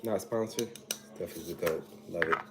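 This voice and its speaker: A slightly hoarse man in his thirties chats easily through a cooking session, giving advice in a relaxed, friendly way. His voice sits a little low. A loud air-conditioning hum fills the kitchen behind him. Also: normal speed. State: slightly hoarse, recovering from illness. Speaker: nutrition coach. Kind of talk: casual live cooking chat. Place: home kitchen, indoors. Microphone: phone camera at arm's length, earbuds.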